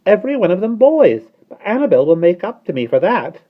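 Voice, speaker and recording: A man voicing a boastful, excitable male starling with a harsh, croaking voice that turns haughty or wheedling. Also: high-pitched, fairly quick, pitched above the performer's own voice. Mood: excited. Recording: dull lo-fi recording.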